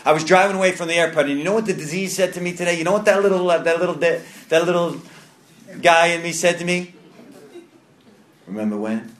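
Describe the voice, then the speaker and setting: A man's voice: a little high, fast, a bit bright, echoey room. A man in his thirties with a New York accent, giving earnest personal testimony to a recovery group, often repeating and restarting phrases. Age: 30s. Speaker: recovery meeting speaker. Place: meeting room.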